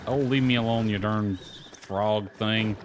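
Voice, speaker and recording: A man's voice: lowish voice, steady tone, unhurried, noisy background. A man giving casual video game commentary, muttering to himself with mild grumbling and swearing.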